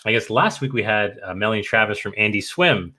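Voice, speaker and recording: A man's voice: lowish voice, expressive, fairly quick, bright, forceful tone. A man hosting an interview, chatty and enthusiastic, asking long rambling questions. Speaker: podcast host, interviewer. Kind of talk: casual interview conversation.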